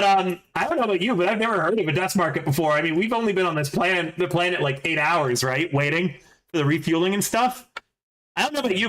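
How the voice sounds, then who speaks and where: A man narrating as game master in a casual, halting way with many fillers. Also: high-pitched, a bit bright.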